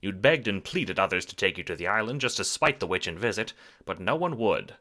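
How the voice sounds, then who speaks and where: A man giving dramatic, wry scripted narration for an audio drama, theatrical and expressive. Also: on the low side, brisk.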